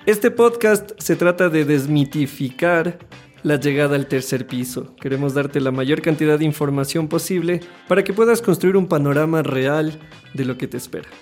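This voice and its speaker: Ecuadorian man with a higher-set voice. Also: moderate pace, a little mellow, soft background music. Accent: Ecuadorian Spanish. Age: 30s. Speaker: podcast co-host. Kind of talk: casual conversational podcast.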